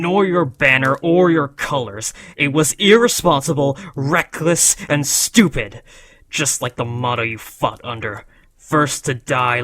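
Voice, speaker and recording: Man acting solemn ancient dragon, slow and proud. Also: medium pitch, fairly bright tone, natural voice. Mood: angry scolding. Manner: solemn, formal, measured. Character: ancient proud male dragon, scolding yet devoted to rider. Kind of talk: dramatic fantasy roleplay monologue.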